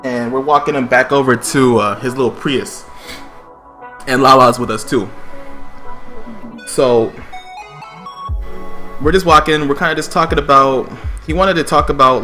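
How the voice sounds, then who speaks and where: Man, medium pitch, casual streamer storytelling, profane slangy delivery, quoted dialogue, soft background music. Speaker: streamer telling a personal story.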